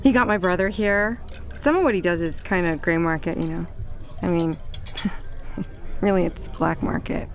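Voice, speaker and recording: A woman's voice: fairly low pitch, normal speed, long pauses, faint music behind, close to an everyday voice. An actress playing a chatty, apologetic young woman who speaks in a hesitant, confiding way in a naturalistic radio drama.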